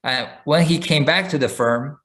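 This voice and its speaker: A man's voice: on the high side, normal speed, fairly bright tone. A man co-hosting a podcast, chatting casually and telling a long story with frequent fillers and restarts. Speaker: podcast co-host. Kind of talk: casual chat.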